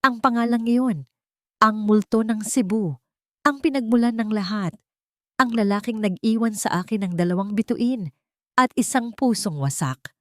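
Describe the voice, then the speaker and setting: A woman narrating a scripted first-person drama in a solemn manner, in short deliberate phrases. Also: medium pitch, no room sound, studio quality.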